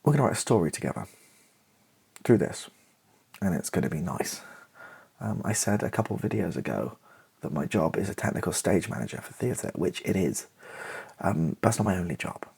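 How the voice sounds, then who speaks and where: A man chatting in a relaxed ASMR style, rambling and self-deprecating with a wry touch, his voice husky and creaky. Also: low-pitched, fairly quick, pauses often. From Britain. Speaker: ASMR YouTuber and playwright. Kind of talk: relaxed ASMR-style casual chat to camera.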